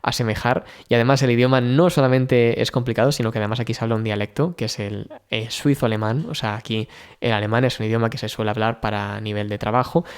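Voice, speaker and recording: A man's voice: mid-range voice, fairly even intonation, fast talker, clear, resonant tone. A man from Spain talking casually in a vlog, thinking aloud with many fillers and restarts. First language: Spanish. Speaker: vlogger. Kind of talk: casual personal vlog talk.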